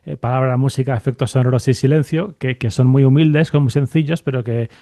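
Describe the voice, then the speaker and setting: Male podcast host, soft dark timbre, halting conversational delivery, dry recording. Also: medium pitch, fairly even intonation, no echo. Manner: halting, repeats words while thinking aloud. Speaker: podcast host, university radio lecturer. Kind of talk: conversational interviewing.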